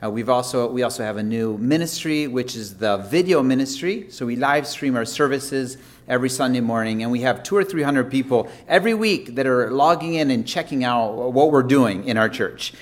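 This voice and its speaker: A man who is a missionary giving a friendly, relaxed update to a church congregation, with frequent fillers. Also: mid-range voice, normal speed, few pauses.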